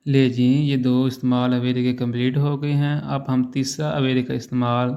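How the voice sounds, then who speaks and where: A man's voice: medium pitch, level tone, moderate pace, dark tone, clear, resonant tone, lo-fi sound. A man teaching a language lesson, explaining patiently and checking in often with his listeners.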